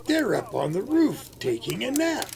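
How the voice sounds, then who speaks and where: A man playing a warm-hearted, folksy husband who acts as Santa in an amateur radio play. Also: high voice, huge pitch swings, moderate pace, gravelly, heavily acted. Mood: kindly, jolly. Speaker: actor in an amateur audio play.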